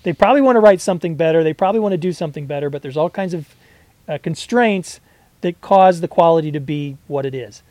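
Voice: medium pitch, quick pace, darkish tone, no room sound. Rambling podcast co-host nearing fifty. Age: 40s. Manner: rambling, hesitant, many fillers and restarts. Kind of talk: casual chat.

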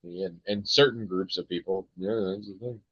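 An American man hosts a podcast in a loose, rambling way, stopping and restarting his sentences and filling pauses with ums. His voice sits on the low side, and the recording sounds dry and a little dull. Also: steady tone, a bit bright, slightly rough, no echo. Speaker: podcast host. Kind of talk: casual chat.

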